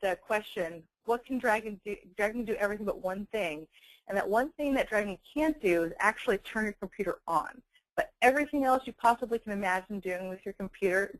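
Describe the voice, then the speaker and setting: A woman giving a semi-scripted software webinar presentation in an explanatory, conversational manner. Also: mid-range voice, no echo, poor audio.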